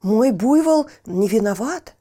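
A woman's voice: fairly high pitch, expressive, slow pace, warm tone. Woman acting young village boy, fairy tale, stubborn, honest, plain-spoken, varied intonation. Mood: firm, defiant.

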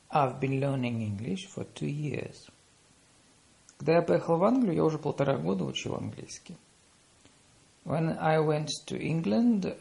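A man reading paired lesson phrases, saying the English slowly and deliberately after quicker Russian. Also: a little high, creaky, darkish tone. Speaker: language teacher.

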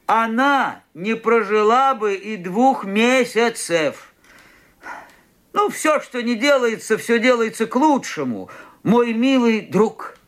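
Fussy old doctor, very high voice. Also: male voice, animated, takes it slowly, exaggerated voice. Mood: earnest, consoling. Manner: hesitant, repeating himself, consoling. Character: fussy, kindly older male doctor, well-meaning. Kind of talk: radio drama acting.

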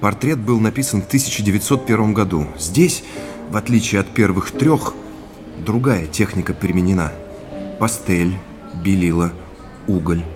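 An actor playing an earnest, inquisitive businessman in a naturalistic audio drama, polite and measured in conversation. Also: fairly low pitch, over music, lightly acted. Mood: eager, respectful. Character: earnest, inquisitive businessman tracing his family's past.

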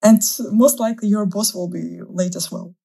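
A woman's voice: mid-range voice, steady tone, normal speed, vocal fry, mellow. A young woman from Azerbaijan whose first language is Russian, chatting casually as an interviewee and laughing often.

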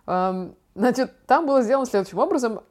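A young woman talks quickly on a casual podcast. She is chatty and slangy, spinning out stories in an animated, informal way. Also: on the high side. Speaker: podcast co-host, daughter talking with her father.

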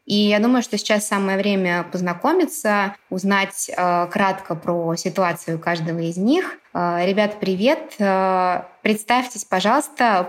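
A woman speaking as a podcast host and career expert, explaining things to her guests in a measured, supportive, reflective way. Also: medium pitch.

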